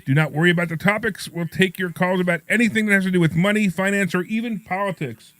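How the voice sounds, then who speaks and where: A man's voice: high voice, quick pace, a bit bright, no room sound. A middle-aged male radio host with a New York accent and a slight lisp, chatting casually in a rambling, joking way. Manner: rambling, repetitive, self-deprecating joking. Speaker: radio talk show host. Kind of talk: casual, jokey talk radio chat and anecdotes.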